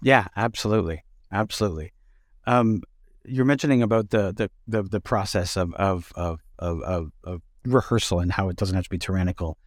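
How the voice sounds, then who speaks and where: Thoughtful man, slightly low voice, dry sound. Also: brisk, no room sound. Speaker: interviewer and fellow theatre director. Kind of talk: casual conversational interviewing.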